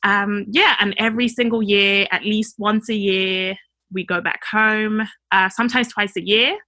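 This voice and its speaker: A woman's voice: mid-range voice, fairly even intonation, moderate pace. A woman talking easily in a casual chat as a guest, laughing often. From Nigeria (family), raised in the UK. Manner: warm, laughs often, easygoing.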